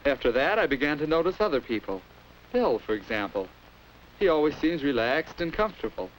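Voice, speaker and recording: Earnest young American narrator, lively pitch. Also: male voice, fairly quick, poor audio. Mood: reflective. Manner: earnest, confiding first-person storytelling. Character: earnest self-conscious American schoolboy recalling his troubles. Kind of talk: 1950s educational film narration. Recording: hissy 1950s film soundtrack.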